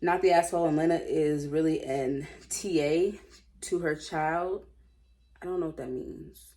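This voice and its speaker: Woman, American accent, low pitch, casual read-aloud, blunt sassy asides, informal commentary. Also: unhurried, mellow. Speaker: host reading online stories aloud. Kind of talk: casual reading with running commentary.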